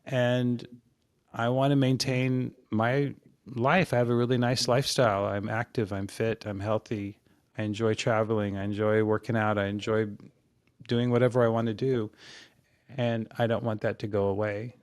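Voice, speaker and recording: A man in his sixties, a podcast guest, talking in a relaxed, rambling way about his fitness habits, often restarting his sentences. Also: on the low side, moderate pace, dry recording, studio quality. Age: 60s. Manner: rambling and reflective, with frequent restarts. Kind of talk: casual interview chat.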